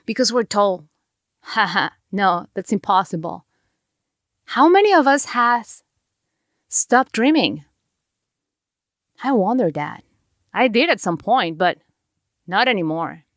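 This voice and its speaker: A woman's voice: medium pitch, sing-song, slow, pauses often, no room sound, studio quality. A woman with a Mexican Spanish accent, a native Spanish speaker, hosting a podcast with an earnest, heartfelt motivational monologue. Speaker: podcast host.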